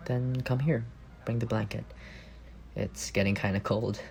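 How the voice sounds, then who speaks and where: Male actor as tender, playful roommate secretly in love, intimate ASMR roleplay; low register with plenty of fry. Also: steady tone, a little slow. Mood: tender, drowsy. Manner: playful, gently teasing, tender. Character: affectionate, teasing young roommate quietly in love.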